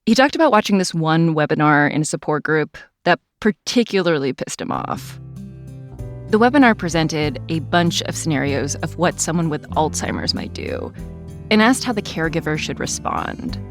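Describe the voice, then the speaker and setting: A woman hosting a podcast, telling the story in scripted narration with an expressive delivery. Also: sits low, normal speed, faint music behind. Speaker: podcast host.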